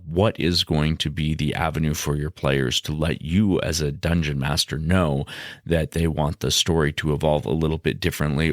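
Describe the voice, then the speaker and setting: A man hosting a podcast, giving scripted explanations in a measured, instructional way. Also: a bass voice, no echo, studio quality. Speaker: podcast host.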